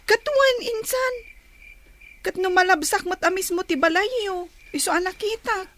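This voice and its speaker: A woman's voice: very high-pitched, a little slow, fairly bright tone. A woman acting a timid young Filipino granddaughter in an Ilocano radio drama. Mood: polite, uneasy. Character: young woman, timid, protective granddaughter, frightened of her male cousin.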